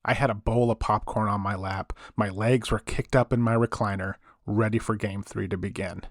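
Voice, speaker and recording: A man acts out a 1980s late-night radio host with a fairly low voice. He delivers a dramatic monologue about the uncanny, suspenseful and punchy. The sound is close and dry. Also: steady tone, normal speed, dry recording, lightly acted. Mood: grave, suspenseful. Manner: theatrical, suspenseful, punchy short phrases.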